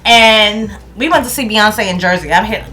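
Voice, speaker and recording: A woman's voice: medium pitch, moderate pace, pushed and bright. A woman in her thirties from New York with a New York accent, chatting casually through a product review at home and laughing often. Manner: chatty, laughs often, loses words and restarts. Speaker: beauty vlogger. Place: home room, indoors.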